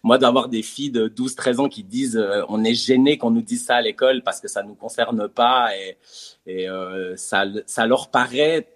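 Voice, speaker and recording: A man's voice: medium pitch, fairly even intonation, normal speed. Hesitant man in casual talk. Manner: hesitant, many fillers and repeated words. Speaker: comedian and theatre teacher. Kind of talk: casual conversational interview.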